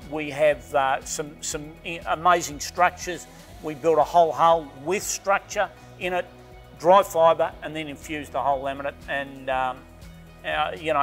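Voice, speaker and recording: A middle-aged Australian man talks eagerly about his boat factory, his voice a touch higher than most men's. He stumbles and restarts often, and quiet music runs beneath the interview. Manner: enthusiastic, rambling with restarts and "you know". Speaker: boatbuilding company owner. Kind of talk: interview answers. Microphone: lapel mic. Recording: faint background music.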